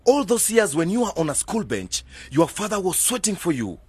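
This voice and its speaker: An actor playing a stern, reproachful older uncle from a village in a radio drama, scolding and insistent. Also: male voice, sits high, sing-song, moderate pace, darkish tone, breathy edge, dry recording, heavily acted.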